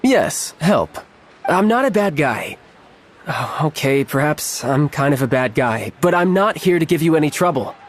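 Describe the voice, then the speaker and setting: An actor playing a suave, playful young envoy in a game cutscene, smooth, teasing and glib. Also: male voice, fairly high pitch, sing-song, moderate pace, a little hiss or hum. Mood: playful, reassuring.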